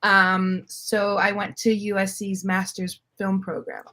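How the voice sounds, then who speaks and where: A young woman from Miami, talking freely as a guest about her life and work. Her voice sits in a middle register, and she tells her stories with lively, easy laughter. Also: mid-range voice, measured pace. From Miami, Florida. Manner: animated, laughs often, storytelling. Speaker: guest filmmaker. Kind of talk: casual interview chat.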